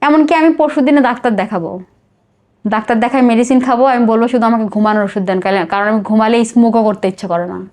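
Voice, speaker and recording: A woman's voice: a little high, fast talker, a little mellow. A middle-aged Bangladeshi woman telling her life story in a confessional interview, emotional and outpouring, often repeating herself.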